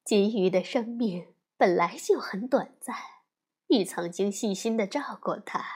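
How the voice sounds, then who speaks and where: Calm storybook mother bear, woman reading, slow and soothing, breathy. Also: mid-range voice. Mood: gentle, soothing. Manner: soft, soothing. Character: gentle comforting mother bear.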